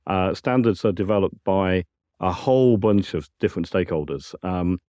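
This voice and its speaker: Low, mellow British man. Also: moderate pace, no room sound. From United Kingdom. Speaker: technical director, interview guest. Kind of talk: explanatory interview answers.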